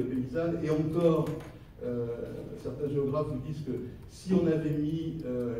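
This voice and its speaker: A man with a French accent from France giving a semi-improvised conference lecture, halting and searching for his words. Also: mid-range voice, dark tone. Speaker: conference speaker, geographer. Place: conference hall. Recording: roomy echo, distant mic, faint noise.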